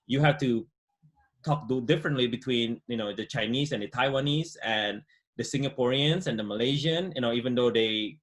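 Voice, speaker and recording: A man's voice: medium pitch, fairly quick. Man in his forties, easygoing guest on a webcam call, speaks with many fillers. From Indonesia. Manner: relaxed, many fillers and "you know". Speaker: guest entrepreneur. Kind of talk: casual interview chat. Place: home room, indoors. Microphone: video call webcam.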